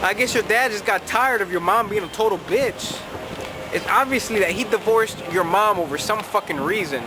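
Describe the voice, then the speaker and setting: A man's voice: sits high, moderate pace, noisy background. A young man giving blunt, profane advice on a vlog, reading out viewer letters while tipsy. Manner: brash, profane, slangy. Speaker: advice vlogger. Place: outdoors in a city at night.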